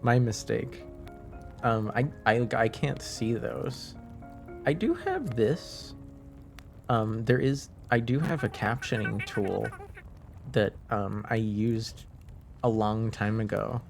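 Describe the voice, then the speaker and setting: A native French-speaking man hosting a relaxed livestream. His voice has a creaky, gravelly edge and sounds a little under the weather. He talks slowly and haltingly, trailing off often, with faint music playing behind him. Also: medium pitch. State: slightly under the weather, deeper than usual, clearing throat. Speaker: live streamer, host. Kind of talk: casual livestream chat with viewers.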